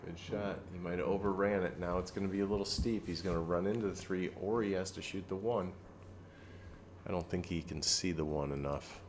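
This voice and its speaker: A man giving relaxed live commentary on a pool match, explaining each shot and joking dryly. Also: deep voice, brisk, a little hiss or hum.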